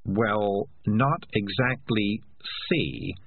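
A man's voice: on the low side, extremely slow, gravelly, phone-quality sound, slight background noise, heavily acted. A man voicing a cynical, self-serving talking cat who wheedles and justifies himself. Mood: wheedling.

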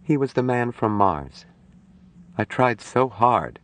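Man doing a high, distraught young nurse. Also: very animated. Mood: drained, sad. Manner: pleading, halting, shrill when upset. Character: young woman nurse, distraught, timid but insistent.

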